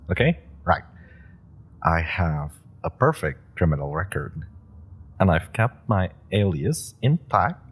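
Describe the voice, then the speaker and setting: A man playing a pushy young superhero rookie, husky and low. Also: exaggerated voice. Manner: eager, pushy, persuasive talk with playful laughs. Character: eager young male super-powered rookie, pushy and persuasive. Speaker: voice actor in an audio roleplay. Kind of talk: scripted audio roleplay addressed to the listener.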